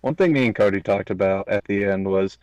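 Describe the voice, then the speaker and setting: A man's voice: lowish voice, brisk, a little mellow, dry recording, highs cut off. Man chatting casually, close dry recording. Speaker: podcast guest. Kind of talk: casual chat about movies.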